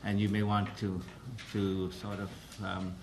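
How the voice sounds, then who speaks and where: A man giving a formal press briefing as president of a council, speaking carefully with many pauses and restarts. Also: deep voice, completely flat delivery, noisy background.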